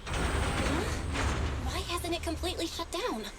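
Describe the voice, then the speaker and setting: A woman's voice: extremely high pitch, measured pace, loud music behind the voice. A woman playing a helpful young female hacker guide in a video game, eager and technical. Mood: puzzled, businesslike.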